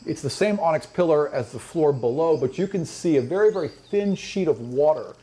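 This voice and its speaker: A man's voice: fairly high pitch, animated, brisk, dark tone. A man acting as game master, narrating a fantasy tabletop game with descriptive storytelling and casual table talk.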